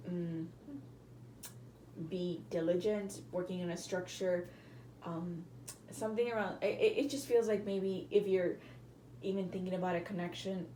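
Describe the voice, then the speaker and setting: A woman with a hoarse, cracking voice, giving a casual tarot reading to viewers and often apologizing. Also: fairly low pitch, warm tone, echoey room, a little hiss or hum, rough recording. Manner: chatty, apologetic, hedging with fillers. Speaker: tarot reader.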